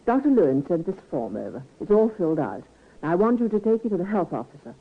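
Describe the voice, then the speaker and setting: An actress playing a proper, guarded nurse with formal, precise speech, in old-time radio style on a tinny recording. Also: female voice, fairly low pitch, big pitch swings, fast talker, husky, heavily acted. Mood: brisk, instructing.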